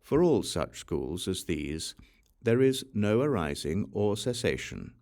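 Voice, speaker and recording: A man narrating an audiobook of scripture, reading from a script in a measured, solemn way with deliberate pauses. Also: fairly low pitch, sing-song, dark tone. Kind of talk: scripted reading of a Buddhist sutra.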